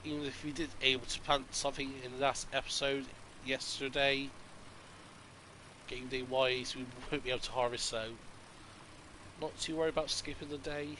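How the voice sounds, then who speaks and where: A man sounds a little bunged up from a cold as he chats his way through a farming game, rambling and thinking aloud between moves. His pitch sits in an ordinary middle range. Quiet game sounds hum faintly behind him. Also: level tone, normal speed, low-quality recording. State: congested from a cold. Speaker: gaming channel host. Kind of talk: casual gameplay commentary.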